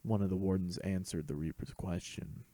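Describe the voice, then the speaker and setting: A man's voice: sits low, steady tone, normal speed, dark tone, no echo. A man reading a scripted fan fiction story as host and narrator.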